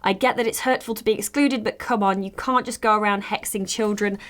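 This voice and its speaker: A woman playing a fairytale podcast host, reading advice posts aloud in an expressive, conversational way. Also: medium pitch, fairly quick, close to an everyday voice.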